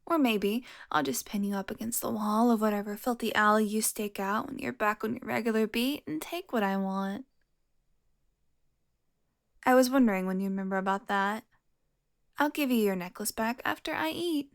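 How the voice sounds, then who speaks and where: A woman's voice: mid-range voice, moderate pace, natural voice. A woman playing a teasing, flirtatious, sardonic and foul-mouthed vampire in an audio roleplay, speaking straight to the listener. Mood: menacing, exasperated. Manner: sardonic, teasing, breathy asides.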